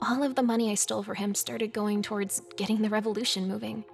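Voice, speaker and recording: A woman's voice: medium pitch, fairly even intonation, quick pace, creaky, darkish tone, faint music behind, lightly acted. An actress voicing a young hacker revolutionary in a video game cutscene, confiding and dryly sardonic, with swearing in her speech. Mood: regretful, guarded.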